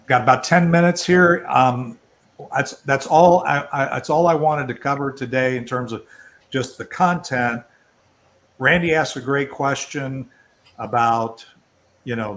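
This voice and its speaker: A man's voice: medium pitch, fairly bright tone. An older man hosting an online networking event, talking informally and rambling a little as he presents and takes questions. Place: online video call.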